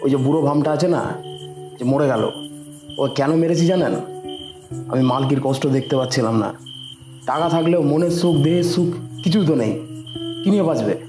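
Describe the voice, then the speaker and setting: অভিনেতার কণ্ঠে প্রতিধ্বনিময় গলায় বেপরোয়া প্রেমিক পুরুষ. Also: male voice, fairly high pitch, moderate pace, pauses often, lightly acted. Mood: defiant, bitter. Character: defiant adulterous lover, village firework maker's accomplice. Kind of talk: dramatised audio story acting. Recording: echoey, loud music behind.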